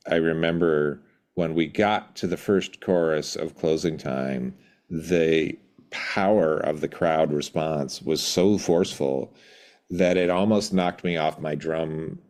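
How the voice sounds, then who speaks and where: A middle-aged man reflecting in an interview, thoughtful and measured, pausing to find his words. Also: very deep voice, darkish tone. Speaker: podcast guest, rock band drummer.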